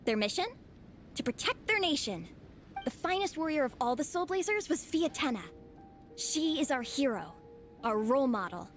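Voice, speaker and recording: A woman's voice: sits high, sing-song, moderate pace, soft background music. A woman voicing an earnest young student superfan in a video game, with dramatic, grandiose phrasing. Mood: grand, reverent.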